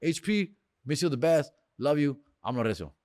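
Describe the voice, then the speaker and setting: A man's voice: fairly high pitch, lively intonation, normal speed, darkish tone, dry recording, clean studio sound. A man, hosting a podcast in a casual, slangy monologue that mixes in Spanish phrases. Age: 40s. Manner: slangy, drops bro, dog and fool, mixes in Spanish phrases. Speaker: podcast host.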